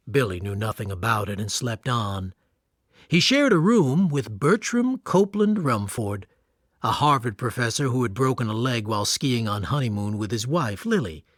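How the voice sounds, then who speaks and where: An actor playing a wry, matter-of-fact storyteller who narrates a radio drama. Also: male voice, on the low side, dry recording, clean studio sound.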